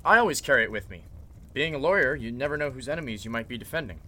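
A man playing a calm, commanding lawyer in an old-time radio mystery drama, speaking in a measured way. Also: medium pitch. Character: calm, take-charge male lawyer carrying a gun.

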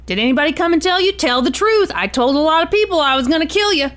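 A woman's voice: sits high, animated, quick pace, fairly bright tone, pitched above the performer's own voice. A woman voicing an agitated, cursing male hostage-taker with a gun, reading his defiant, folksy threats from a transcript. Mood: threatening. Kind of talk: reading a call transcript aloud.

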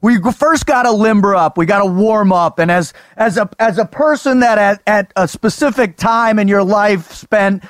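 A man hosting a podcast, excitable and emphatic, with announcer-style flourishes. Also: sits high, moderate pace, hardly any pauses, no room sound. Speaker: podcast host. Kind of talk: energetic podcast banter.

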